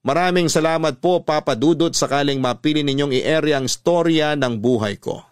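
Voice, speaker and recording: A man's voice: a little high, moderate pace, clean tone, dry recording. Dry, close-miked male story narrator. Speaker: radio drama narrator. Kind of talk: scripted first-person narration of a listener's letter.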